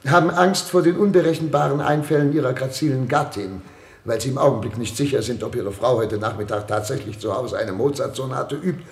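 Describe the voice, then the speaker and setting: An actor playing a pompous, fretful head of state in a German radio play, speaking in an oratorical, theatrical way. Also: male voice, medium pitch, natural voice. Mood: needling, commanding. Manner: pompous, oratorical, theatrical throat-clearing and pauses. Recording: roomy, reverberant radio studio sound.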